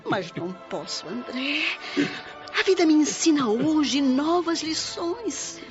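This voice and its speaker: A Brazilian woman playing a gentle, devout elderly mother spirit in a radio drama, speaking with tender, solemn earnestness. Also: high-pitched, very animated, takes it slowly, full character voice. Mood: tearful, tender. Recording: dull radio drama with loud music.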